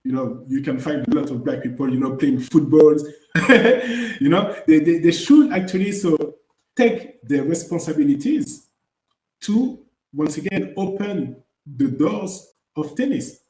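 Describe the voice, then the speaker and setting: High-pitched man chatting in an echoey room. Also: unhurried. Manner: animated, lots of "you know" and restarts. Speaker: podcast co-host. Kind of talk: casual podcast chat.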